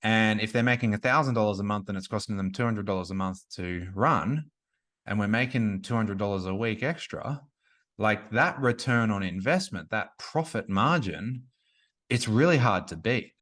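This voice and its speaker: A talkative man in his forties with a lowish voice. He speaks in a loose, conversational way, full of "like," restarts and asides, as he explains his business as a coach. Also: expressive, normal speed, a bit bright. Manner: chatty, frequent "like" and restarts. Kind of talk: casual interview conversation.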